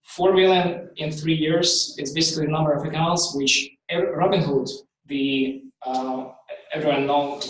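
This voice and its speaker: A man in his thirties from Ukraine, with a Ukrainian accent, giving a startup pitch in halting English full of ums and likes. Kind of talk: live pitch presentation. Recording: very echoey hall, distant from the mic.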